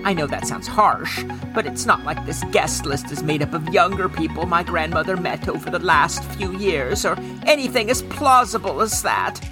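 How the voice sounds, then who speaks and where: A woman's voice: fairly low pitch, lively intonation, moderate pace, hardly any pauses, loud music behind the voice, lightly acted. A woman voicing a chatty, exasperated woman in her thirties reading out her own letter, rambling and fussy, in an audio drama. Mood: flustered, gushing.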